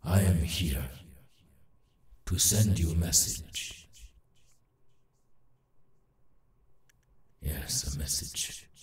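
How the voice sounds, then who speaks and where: A man voicing a halting, urgent demon in an ASMR roleplay, repeating himself in broken phrases and insisting he is harmless. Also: a bass voice, echoey room, distant mic. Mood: hesitant, reassuring.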